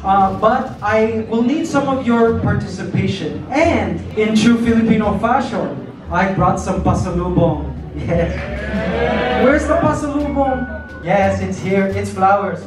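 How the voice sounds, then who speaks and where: Brief: playful Canadian male emcee-singer in his 30s, high voice, distant in a booming hall. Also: animated, a little slow. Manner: upbeat, chatty, playful with the crowd. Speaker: vlogger and stage performer. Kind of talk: emceeing and singing at a party. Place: large indoor event hall with a crowd. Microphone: handheld mic, filmed from the back of the hall. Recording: echoey hall from far off with loud music.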